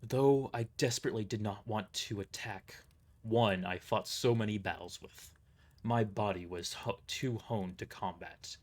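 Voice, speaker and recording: A man's voice: lowish voice, unhurried. A man narrating a first-person dramatic story as a live reader, occasionally stumbling over a word.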